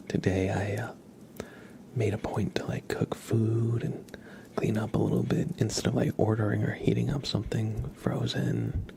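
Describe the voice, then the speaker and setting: A man hosting an ASMR livestream, chatting gently and casually in a soft, breathy voice with frequent pauses. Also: on the low side, slight background noise. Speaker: livestream host.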